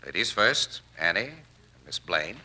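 An actor playing a wisecracking, shrewd crime photographer in a 1940s radio drama, talking in a brash, colloquial way. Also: male voice, low-pitched, big pitch swings, measured pace, very noisy. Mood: wry.

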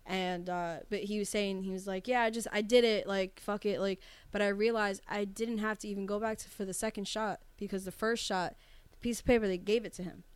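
A young woman with a smooth, clear voice and a dry, close sound. She co-hosts a podcast and tells anecdotes in a chatty, animated, profane way. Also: medium pitch, a little fast, no echo. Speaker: podcast co-host. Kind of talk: casual chat.

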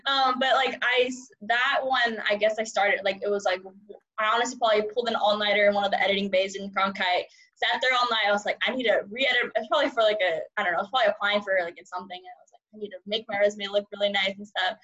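A woman's voice: mid-range voice, steady tone, quick pace, pushed and bright, lo-fi sound. A young woman in her twenties whose first language is Cantonese, chatting in an interview with a rambling, upbeat manner, laughing often. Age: 20s. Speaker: journalism student guest. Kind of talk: casual interview chat.

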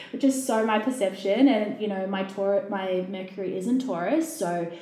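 A woman's voice: medium pitch, a little slow. A woman from New Zealand, a podcast guest talking casually, with many fillers and restarts. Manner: chatty, lots of fillers and restarts. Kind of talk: casual conversation. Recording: echoey room.

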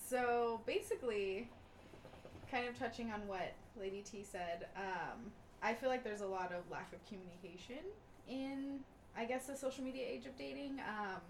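Woman, late 20s, reflective podcast talker, mid pitch. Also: a little hiss or hum. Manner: thoughtful, lots of "um", steady. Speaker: co-host. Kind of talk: casual podcast chat.

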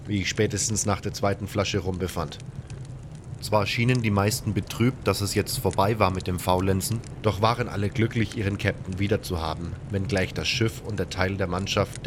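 Lowish-voiced man narrating an audiobook. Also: steady tone, moderate pace, background noise. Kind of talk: scripted first-person story narration.

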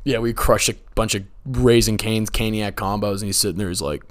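A man in his twenties with a raspy voice, co-hosting a podcast in a loose, rambling way, often laughing. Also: on the low side, steady tone, brisk. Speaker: podcast co-host. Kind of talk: casual chat.

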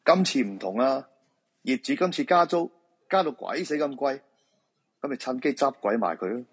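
A man plays a weary, casual middle-aged husband and feng shui master in a radio drama. His voice is husky and medium in pitch, with a Hong Kong Cantonese accent. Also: expressive, moderate pace. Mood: grumbling. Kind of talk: live radio drama dialogue.

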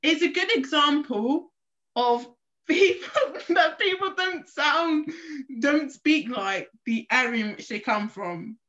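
A woman's voice: high voice, animated, takes it slowly, fairly bright tone. Chatty young woman, muffled. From England. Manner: chatty storyteller, restarts a lot. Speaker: podcast co-host. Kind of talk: casual chat. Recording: slightly muffled lo-fi recording.

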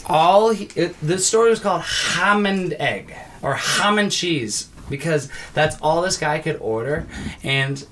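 A man's voice: on the high side, unhurried, fairly bright tone. A man co-hosting a casual movie review, ranting in a crude, profane way. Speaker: podcast co-host.

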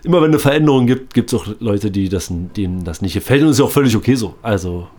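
A man's voice: on the low side, lively intonation, fast, a little mellow. A man co-hosting a casual podcast chat about his gym, talking loosely with many filler sounds and slightly under the weather. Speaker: podcast co-host, gym trainer.

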